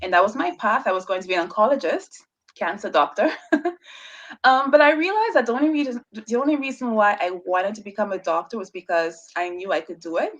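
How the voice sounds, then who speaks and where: A woman's voice: medium pitch, fairly quick, lo-fi sound. A woman researcher, a guest on an interview show, telling her story casually with a stutter, repeated words and frequent laughs. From Bahamas.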